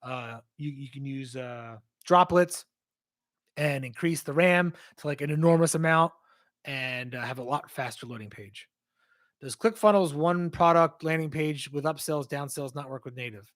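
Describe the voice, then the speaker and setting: A man hosting a casual livestream Q&A, rambling as he answers viewer questions, his voice strained and hoarse from losing it. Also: fairly high pitch, moderate pace, fairly bright tone. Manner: rambling, conversational, reads out and answers chat questions.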